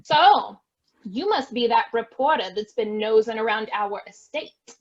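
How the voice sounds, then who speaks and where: A dull, lo-fi recording of a woman acting as a defensive heiress in a stage farce. She speaks slowly and theatrically in a high voice, full of melodrama and exclamation. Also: expressive. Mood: curt, haughty. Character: defensive, melodramatic estate heiress, bickering with her sister. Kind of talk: amateur stage farce acting over video.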